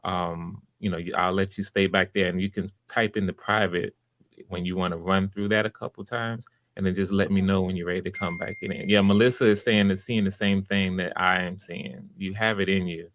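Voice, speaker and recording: A man's voice: deep voice, fairly even intonation, brisk, fairly bright tone, dry recording. A man hosting auditions and giving encouraging, conversational feedback, often restarting his phrases. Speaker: audition host and director.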